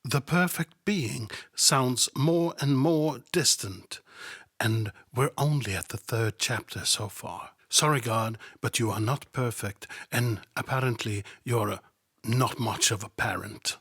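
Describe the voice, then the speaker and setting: A man's voice: medium pitch, measured pace, fairly bright tone, breathy edge, no echo, clean studio sound. A man hosting a podcast who reads scripture aloud and comments on it in a sarcastic, irreverent and profane way. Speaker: podcast host.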